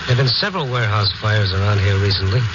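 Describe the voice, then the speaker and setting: An actor playing a steady, probing investigator who also narrates, in a terse 1950s radio noir style. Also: male voice, on the low side, fast, heavy background noise, lightly acted. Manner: questioning, terse. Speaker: lead character and narrator. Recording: muffled 1950s radio recording.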